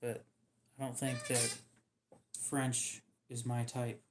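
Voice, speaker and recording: A man hosting a livestream in casual chat, talking in a dry, monotone, subdued way that sounds depressed to him. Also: medium pitch, slight background noise. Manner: dry, self-deprecating, unhurried. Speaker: livestream host.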